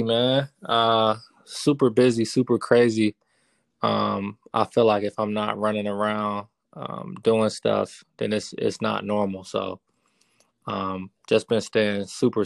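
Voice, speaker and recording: A man in his thirties, a real estate investor, answering interview questions in a relaxed, casual way with many fillers. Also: fairly low pitch, a little slow, creaky, dry recording. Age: 30s.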